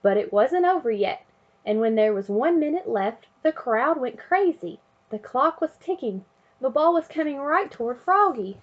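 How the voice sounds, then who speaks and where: A woman reading a children's picture book aloud in an expressive way, chanting refrains and sound words. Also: high-pitched, moderate pace, lo-fi sound. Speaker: storybook reader.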